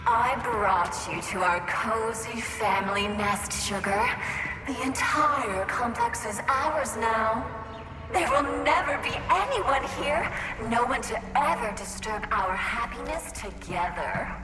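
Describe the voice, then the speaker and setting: A woman's voice: fairly high pitch, takes it slowly. A woman playing an obsessive, seductive female robot in a video game, cooing pet names with a menacing clinginess. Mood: possessive. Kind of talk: video game character acting. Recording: echoey reverberant hall, music behind.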